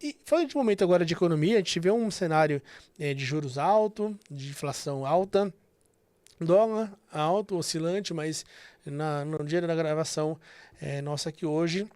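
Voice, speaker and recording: A man's voice: fairly high pitch, moderate pace, darkish tone, dry recording. A man hosting a casual business podcast, explaining things conversationally with frequent fillers. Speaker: podcast host.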